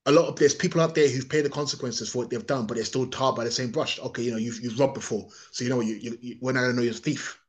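A man's voice: medium pitch, fairly even intonation, racing, few pauses. A man from England, giving a rambling, opinionated monologue on a podcast, often restarting and repeating his words.

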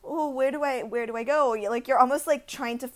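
A young woman from India co-hosting a podcast, telling a story in a casual, animated way with lots of "like" fillers. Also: sits high, fairly quick, crowd noise. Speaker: podcast co-host. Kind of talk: casual chat.

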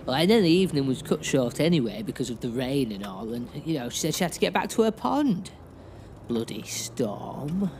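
A portly dingo taxi driver, rambly and grumbly, pitch swooping everywhere, faint noise behind. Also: male voice, sing-song, normal speed, a little mellow. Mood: rambling, disgruntled. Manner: rambling, folksy. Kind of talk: audio drama acting.

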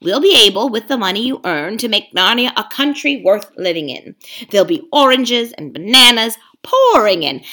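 A woman's voice: a little high, pushed and bright, voice pushed up, heavily acted. A woman reading aloud, voicing a scheming, domineering male ape who snarls and hisses at the other animals. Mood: smug.

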